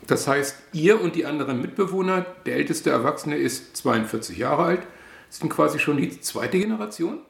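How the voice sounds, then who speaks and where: A man with a slightly higher pitch and a gravelly edge leads a radio interview, asking short, calm questions. His voice sits in a roomy, echoey space. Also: moderate pace. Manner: calm, brief questions with frequent backchannel. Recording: roomy echo.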